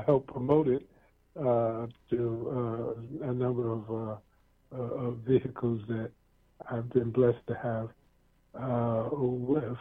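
A man hosting a radio talk show, chatting in a rambling, easygoing way with lots of fillers and restarts. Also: mid-range voice. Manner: rambling, many fillers and restarts, chuckles often. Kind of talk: casual interview chat. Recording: tinny lo-fi radio sound.

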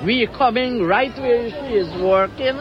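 A woman's voice: on the high side, unhurried, highs cut off, over music, a crowd in the background. Firm older-sounding woman at a street protest. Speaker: retired home care worker. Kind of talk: interview at a protest.

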